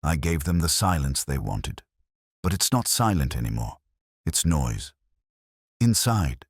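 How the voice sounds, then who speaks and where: Man reading as composed, brittle wronged woman, husky-voiced. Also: rumbling low, very animated, unhurried, dry recording, studio quality, full character voice. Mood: brittle, resigned. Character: wronged female clerk, composed but brittle, confessing on tape.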